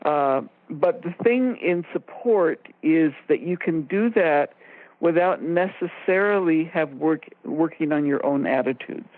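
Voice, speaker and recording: A woman co-hosting a radio discussion, explaining ideas in a measured way and stressing her key points. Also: low voice, no echo. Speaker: radio show co-host and expert. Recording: narrow phone-like line, very dry.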